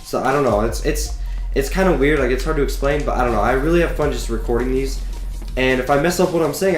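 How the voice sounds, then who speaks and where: A man's voice: medium pitch, echoey room. A teenage boy whose voice sounds a bit sick, casually telling a story to his webcam with lots of fillers and restarts. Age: teens. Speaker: gaming YouTuber.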